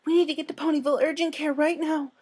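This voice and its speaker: A man voicing a panicked, soft-spoken young female pony in a high, thin, breathy voice with an urgent, timid manner. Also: little pitch movement, fast.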